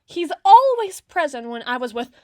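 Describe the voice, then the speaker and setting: A woman's voice: sits high, sing-song, normal speed, warm tone, no room sound, clean studio sound. A woman playing a flustered, haughty teenage tsundere girl in an ASMR roleplay, bookish and socially awkward, with formal, wordy diction. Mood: flustered musing.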